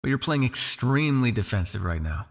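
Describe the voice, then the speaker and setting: A man's voice: medium pitch, big pitch swings, brisk, gravelly, very noisy. A man playing a smug, possessive vampire crime lord in an ASMR roleplay, with a taunting, silky, condescending delivery. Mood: taunting, goading. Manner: taunting, silky, condescending with sighs and soft shushing.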